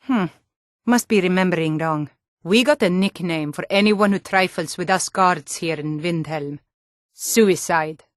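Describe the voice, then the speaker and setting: A woman playing a tough, suspicious female Nord city guard in a fantasy video game, with a blunt, gruff, challenging and wry manner. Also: fairly low pitch, unhurried, dry recording, clean studio sound, close to an everyday voice. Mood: casual, wry, then stern, threatening.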